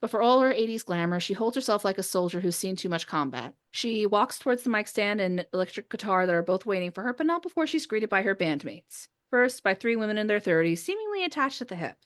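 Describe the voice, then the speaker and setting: A woman's voice: medium pitch, very animated, fast talker, few pauses. Female podcast host and script reader, informal, conversational delivery among friends. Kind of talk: casual chat with friends before a script read-through.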